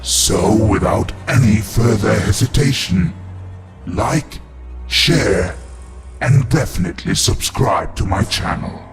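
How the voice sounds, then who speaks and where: A man playing a gloating, demented evil master of dark creatures as a theatrical, sinister horror podcast host. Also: fairly low pitch, expressive, slow, creaky, full character voice. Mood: sinister, commanding. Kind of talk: in-character horror host monologue. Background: music.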